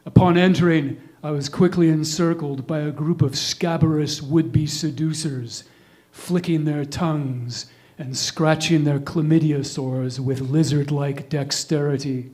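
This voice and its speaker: A middle-aged man performing a scripted comic monologue in ornate wording, with deliberate, deadpan delivery. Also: on the high side.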